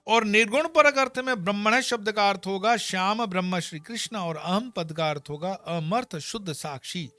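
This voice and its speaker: A man's voice: high voice, moderate pace, hardly any pauses, sharp tone, no room sound, soft background music. Emphatic man giving a scripture talk. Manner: emphatic, scolding asides with homely examples. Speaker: spiritual teacher. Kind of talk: Gita discourse.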